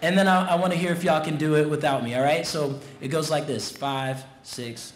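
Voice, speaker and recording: A man in his thirties giving a commencement speech at a podium mic in a big tent, talking in a relaxed, conversational way and getting the crowd involved. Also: on the high side, fairly quick. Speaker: commencement guest speaker. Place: large tent at an outdoor graduation. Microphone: podium mic on a stand. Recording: roomy tent sound with faint noise.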